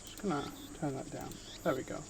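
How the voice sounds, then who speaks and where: A man's voice: mid-range voice, brisk, vocal fry, noisy background. A man live streaming, reading visual novel narration aloud and chatting casually with viewers in between. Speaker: streamer, VTuber host.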